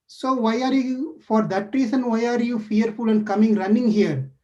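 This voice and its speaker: A man's voice: extremely high pitch, fairly even intonation, normal speed, warm tone, highs cut off, pitched above the performer's own voice. A man voicing a playful, caring male deity who eagerly questions a frightened sage in a devotional story. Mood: curious, eager. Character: playful caring divine lord, male, curious.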